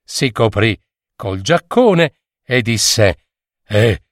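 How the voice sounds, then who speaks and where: A man narrating a fairy tale from a script with expressive, theatrical delivery. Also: medium pitch, slow, no room sound, clean studio sound. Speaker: storyteller.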